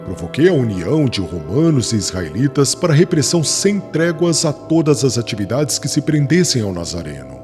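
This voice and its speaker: An actor with a Brazilian accent playing a fervent young former rabbi who preaches in a solemn, formal, declamatory way for a dramatized audiobook. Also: male voice, mid-range voice, sing-song, moderate pace, few pauses, a little mellow, close to an everyday voice. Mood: remorseful, fervent. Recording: music bed under the voice.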